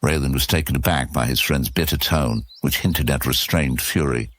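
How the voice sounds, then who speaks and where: Very deep-voiced male story narrator. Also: normal speed, a bit bright. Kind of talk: scripted fantasy story narration.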